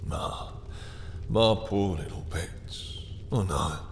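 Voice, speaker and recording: A man playing a possessive, seductive vampire master, breathy and husky, purring condescending endearments and commands in an intimate audio roleplay. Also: sits low, takes it slowly, a little hiss or hum. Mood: soothing, possessive.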